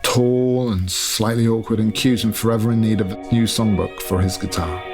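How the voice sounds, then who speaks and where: A man with a fairly low voice narrates a piece of literary fiction. His phrasing is measured and deliberate, and music plays clearly behind him. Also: steady tone. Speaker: author reading own novel excerpt. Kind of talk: scripted literary narration over sound design. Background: atmospheric music.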